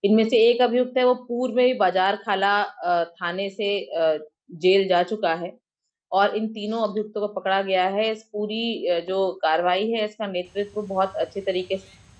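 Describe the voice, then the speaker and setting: A woman police official giving a halting press statement, mixing in English words. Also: medium pitch, steady tone, rough recording.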